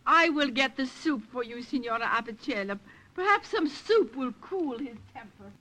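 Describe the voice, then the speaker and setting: A woman's voice: high-pitched, animated, moderate pace, bright, forceful tone, exaggerated voice. An actress playing a feisty, teasing young Italian partisan woman with an Italian accent and emphatic delivery, in vintage radio drama style. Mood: wry. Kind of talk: 1940s-50s radio drama acting. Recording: noisy old radio show recording.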